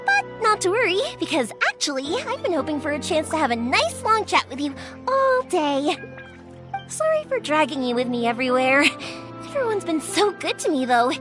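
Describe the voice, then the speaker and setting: A woman's voice: sits high, big pitch swings, moderate pace, music in the background. An actress playing a cheerful, chatty, kind young woman who makes fireworks in a video game, with an exuberant, friendly manner. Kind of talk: animated video game voice acting.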